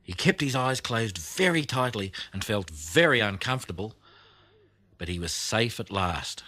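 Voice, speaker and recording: A man reading a children's audiobook as narrator, telling the story expressively with deliberate emphasis. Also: on the low side, expressive.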